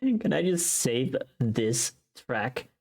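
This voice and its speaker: Female streamer, deep-voiced and leisurely, for playful, casual, teasing live chat. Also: big pitch swings, extremely slow, darkish tone. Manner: swears casually.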